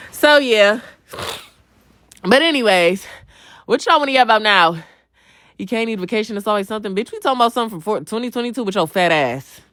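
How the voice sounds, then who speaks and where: A woman's voice: fairly low pitch, fairly bright tone, dry recording. Young woman on a live just chatting w viewers, blunt, cusses a lot, slangy, says stuff over again, dry sound. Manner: repeats phrases for emphasis. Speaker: livestream host. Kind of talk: casual livestream chat, answering viewers' comments.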